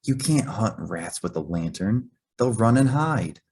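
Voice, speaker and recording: A man's voice: on the low side, animated, unhurried, a little mellow, slight background noise, voice pushed up. A man voicing a proud, mournful female cat who likes to be a loner, in a children's storybook reading. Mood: whispering, aloof.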